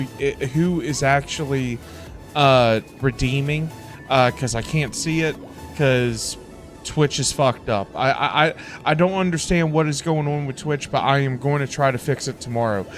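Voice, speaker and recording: A man livestreaming a game, reacting and chatting casually in an animated, exclamatory way, often repeating words. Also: medium pitch, moderate pace, soft background music.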